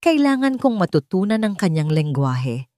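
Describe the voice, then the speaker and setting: A woman's voice: on the low side, big pitch swings, moderate pace, warm tone, no room sound, clean studio sound. A woman voicing a wealthy male boss, a hurting but resolute father, in an audio drama. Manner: questioning, decisive.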